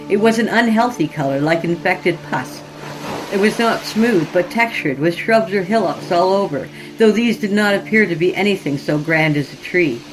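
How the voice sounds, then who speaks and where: Female narrator for horror fiction podcast, somewhat low register, dramatic scripted read, light music bed. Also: poor audio. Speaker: podcast host and story narrator. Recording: music behind the voice.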